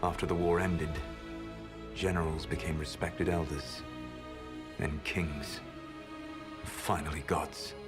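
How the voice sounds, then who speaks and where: An actor playing an ancient, secretive male elf mage who speaks in a measured, grave and regretful way. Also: very deep voice, steady tone. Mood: solemn. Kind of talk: video game voice acting. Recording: game audio with music score.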